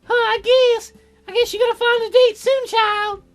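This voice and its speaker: A man's voice: very high voice, flat delivery, moderate pace, a bit bright, faint music behind, pitched above the performer's own voice. A man voicing a folksy old grandmother who nags, in a visual novel game. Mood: pestering.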